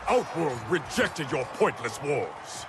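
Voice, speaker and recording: A man's voice: fairly high pitch, very animated, slow, heavy background noise. A man playing a proud, stern warrior emperor in a video game, speaking formally and commandingly. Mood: stern rebuke. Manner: formal, declamatory. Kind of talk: dramatic video game acting. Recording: loud music behind the voice.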